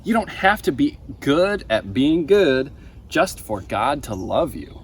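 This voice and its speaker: A man's voice: on the high side, sing-song, takes it slowly, exaggerated voice. A young man voicing an earnest, emphatic male preacher from a children's storybook. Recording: outdoor ambient noise.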